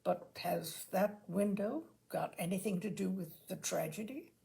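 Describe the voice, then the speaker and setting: Woman reading as a mild, creaky-voiced gentleman caller. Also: mid-range voice, expressive, a little slow. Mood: mild, puzzled. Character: nervous, polite adult male caller in storybook.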